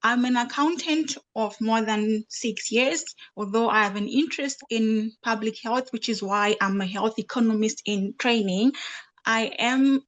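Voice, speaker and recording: A woman's voice: fairly high pitch, a little slow, fairly bright tone, low-quality recording. A young woman from Zimbabwe introducing herself fluently and politely on an online panel. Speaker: panelist, researcher. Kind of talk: self-introduction.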